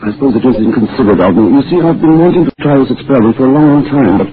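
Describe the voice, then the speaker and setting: A man plays an absent-minded middle-aged husband, meek and hesitant, forever apologising. It is old-time radio acting. The recording is thin and phone-like, with clear background noise. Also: medium pitch, expressive, rapid-fire, natural voice. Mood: meek, apologetic. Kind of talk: 1940s radio drama acting.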